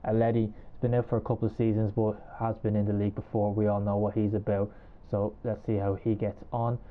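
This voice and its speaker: Irish male podcast host, low flat voice, phone-quality call audio, chatty and hedging. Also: brisk. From Ireland. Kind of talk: casual podcast chat.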